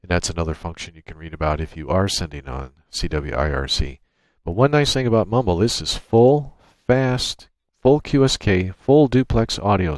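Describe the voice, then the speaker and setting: A man presenting an informal software tutorial, explaining things step by step into a USB microphone. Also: sits low, dry recording. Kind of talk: informal software screencast walkthrough. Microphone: USB microphone through a noise gate.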